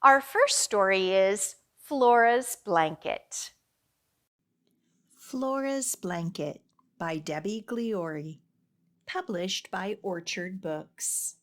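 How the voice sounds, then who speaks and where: A woman's voice: mid-range voice, drawn-out words. A woman librarian hosting a storytime, speaking simply and directly to young children as she presents stories and activities. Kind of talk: children's storytime presentation and picture book read-aloud.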